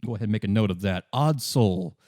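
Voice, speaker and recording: A man acting as game master, narrating a tabletop game casually in a halting, conversational way. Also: on the low side, a little mellow, no echo, clean studio sound.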